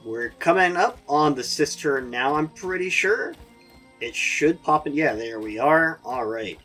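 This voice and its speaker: Hoarse, chatty gaming host. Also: male voice, a little high, animated, a little slow, pushed and bright, soft background music. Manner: rambling, chatty, laughs often. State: hoarse, getting a sore throat. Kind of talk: casual let's-play commentary.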